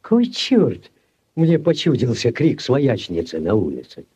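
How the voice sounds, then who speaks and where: A man's voice: mid-range voice, huge pitch swings, unhurried, warm tone, muffled recording, a little hiss or hum. An actor playing a bossy, blustering village headman full of exclamations, in 1940s radio style. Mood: excited, bossy. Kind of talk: 1940s Soviet radio acting.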